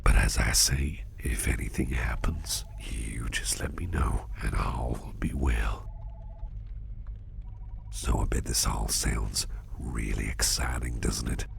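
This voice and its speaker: A man playing a kindly businessman boss in a soft ASMR roleplay, gentle, doting and reassuring in a breathy half-whisper. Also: rumbling low, fairly even intonation, slow pace, noisy background, heavily acted. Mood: warm, reassuring. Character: kindly, reassuring businessman boss looking after a valued employee.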